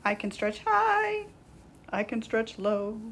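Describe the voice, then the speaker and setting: A woman's voice: high voice, very animated, moderate pace, a bit bright, slight background noise, pitched above the performer's own voice, exaggerated voice. A woman voicing a cheerful little schoolboy from a picture book in a bouncy, singsong manner. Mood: playful singing. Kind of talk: children's picture-book character voice.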